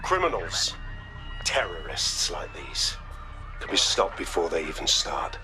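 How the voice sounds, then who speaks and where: An actor playing a grave, authoritative British security boss in a video game, speaking solemnly and deliberately. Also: male voice, sits low, big pitch swings, over music. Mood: resolute, rallying. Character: grave, menacing male private security boss, public orator. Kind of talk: dramatic video game cutscene acting.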